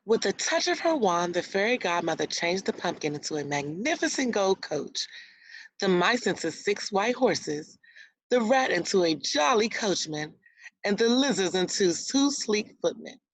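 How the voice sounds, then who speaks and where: A woman's voice: mid-range voice, big pitch swings, normal speed, no echo, low-quality recording. A woman teacher reading a storybook aloud to young children, explaining words and asking guiding questions as she goes. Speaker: elementary school teacher.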